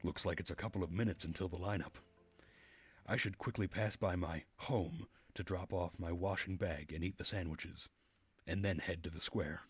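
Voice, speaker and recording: A man with a low-pitched, rough-edged voice reads a visual novel aloud. He narrates like a storyteller on a game stream, with faint music behind him. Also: fairly even intonation, moderate pace, dry recording.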